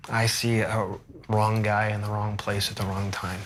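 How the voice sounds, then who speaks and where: An actor playing a troubled, stubborn, devout business owner in a film drama. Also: male voice, on the low side, little pitch movement, normal speed, noisy background, natural voice.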